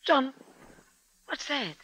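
A woman's voice: sits high, lively intonation, very slow, a bit bright, exaggerated voice, low-quality recording. An actress playing an anxious, pleading wife and mother in a 1950s radio drama. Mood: frightened, pleading. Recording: vintage 1950s radio broadcast.

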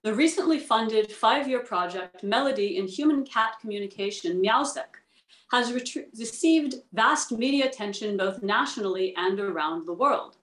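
A woman's voice: fairly high pitch, animated, normal speed, a bit bright. A woman presenting a research paper over a video call from a room at home. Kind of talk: dramatic reading of a scientific paper, then answering questions. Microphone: video call microphone. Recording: roomy, echoing video call audio.

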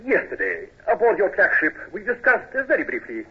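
Suave, husky, swooping courtly minister. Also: male voice, high voice, sing-song, brisk, heavily acted. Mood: cautious, diplomatic. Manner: unctuous, hesitant, diplomatic, chuckling. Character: suave, courtly Russian government minister, middle-aged or older. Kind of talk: 1950s radio drama acting. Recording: dull, lo-fi old radio recording.

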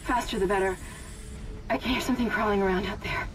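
A woman's voice: mid-range voice, fairly even intonation, fast talker, distant mic, background noise, lightly acted. A woman acting an anxious crew member on a stranded spaceship in a video game. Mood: urgent.

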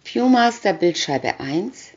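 A woman reading a scripted children's comic story aloud, deliberately, with pauses between captions. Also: mid-range voice, big pitch swings. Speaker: reader of picture-story booklets. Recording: dull lo-fi recording.

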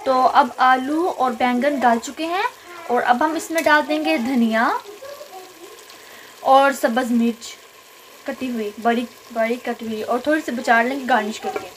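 High-voiced woman explaining a home recipe. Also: measured pace, a little hiss or hum, low-quality recording. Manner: friendly step-by-step explaining, mixing in English words. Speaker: cooking channel host. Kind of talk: casual cooking tutorial voice-over. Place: home kitchen. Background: frying and stirring sounds.

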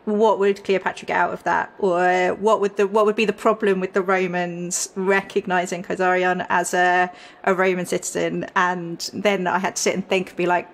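A woman's voice: fairly low pitch, level tone, moderate pace. A woman talking casually on a panel as a historical consultant.

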